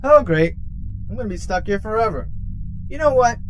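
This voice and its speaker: A man's voice: high voice, expressive, normal speed, slight background noise, poor audio. A man playing a grumpy, put-upon robot who whines and complains in a comic audio drama. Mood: whiny, aggrieved. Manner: whiny, complaining.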